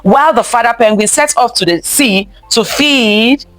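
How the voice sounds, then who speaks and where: A woman's voice: a little high, moderate pace, a bit bright, dry recording, soft background music. A woman reading a picture book aloud to children, expressively, repeating phrases and stopping to ask them questions. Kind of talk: interactive children's story time read-aloud.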